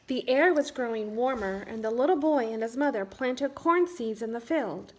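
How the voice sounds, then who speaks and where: A woman with a high-pitched voice reads a children's storybook aloud. She keeps her phrasing measured and simple, suited to young listeners. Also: expressive, rough recording. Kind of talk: picture-book read-aloud narration.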